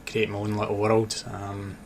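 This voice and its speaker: A Scottish man with a low voice and little rise or fall talks casually as an interview guest. His accent blends Dundee, Glasgow and Edinburgh, and he pauses to think. There is some noise behind him. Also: completely flat delivery. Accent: Scottish, mix of Dundee, Glasgow and Edinburgh. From Dundee, Scotland. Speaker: interview guest, author and sports journalist. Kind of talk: casual radio interview chat. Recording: clear background noise.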